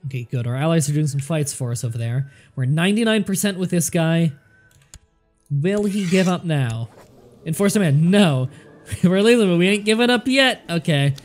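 Nasal, chatty man narrating a strategy game. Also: on the high side. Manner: chatty, thinks aloud, talks to game characters. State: more nasal than usual, stuffed up. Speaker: let's play commentator. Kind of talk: casual gameplay commentary.

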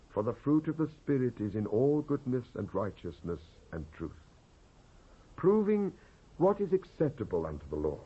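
British guy doing dramatic bible reading, husky voice, big emphatic delivery, dull lo-fi cassette sound. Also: medium pitch. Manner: solemn, emphatic, oratorical. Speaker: scripture narrator. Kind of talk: dramatised reading of King James scripture.

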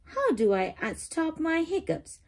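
Woman as young storybook kitten, puzzled, pleading, high-pitched, animated, exclaiming. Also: unhurried, exaggerated voice. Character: young cartoon kitten, puzzled, plagued by hiccups.

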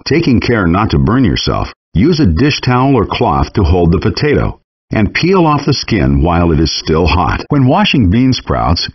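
A man reading scripted step-by-step cooking instructions as a video game's chef guide, in a calm, even instructional manner. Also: fairly low pitch, breathy edge. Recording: dull lo-fi game audio rip.

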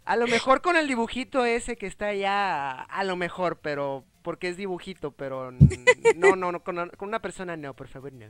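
A man with a Mexican accent hosts a casual gaming chat. His voice is clear and resonant. He talks in an excitable, joking way, often doubling back on his phrases. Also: sits high, normal speed, few pauses. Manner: jokey, excitable, repeats phrases. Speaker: host of a gaming interview show. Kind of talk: casual chat over gameplay.

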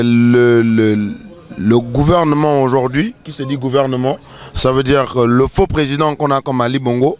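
A middle-aged man from Gabon, emphatic and stammering on repeated words, speaking into a handheld mic on a city street at night. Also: medium pitch. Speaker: protester interviewee. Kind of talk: street interview statement. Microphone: handheld reporter mic.

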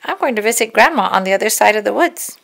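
A woman's voice: mid-range voice, animated, fast, vocal fry, a bit bright. A woman voicing a cheerful, polite little girl in a storybook. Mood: chirpy. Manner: cheerful, chirpy.

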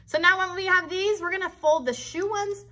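A woman's voice: extremely high pitch, fairly even intonation, moderate pace, pushed and bright. An American woman in her thirties hosting a live craft tutorial for children, chatty and encouraging as she talks directly to them. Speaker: children's craft host and puppeteer. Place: child's bedroom set indoors.

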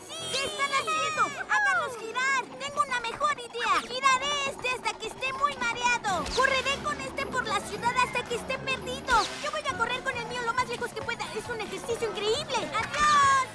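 A performer voicing an eager, brave six-year-old boy superhero in a cartoon, excited and exclamatory. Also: very high-pitched, moderate pace, few pauses, loud music behind the voice, over a noisy crowd. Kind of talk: animated children's show dub.

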